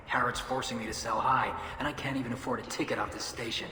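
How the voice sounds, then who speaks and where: A man's voice: mid-range voice, steady tone, quick pace, close to an everyday voice. Earnest young alien pilgrim in echoing hall man acting. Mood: downcast, resigned. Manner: polite, earnest, resigned. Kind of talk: video game dialogue acting. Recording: echoey hall with noisy ambience.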